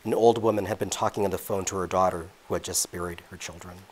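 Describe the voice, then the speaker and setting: A man reads from his own novel at a public event. His voice is very deep, and he takes the prose at a measured, deliberate pace. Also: fairly even intonation. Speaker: author reading his novel. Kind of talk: reading aloud from a novel.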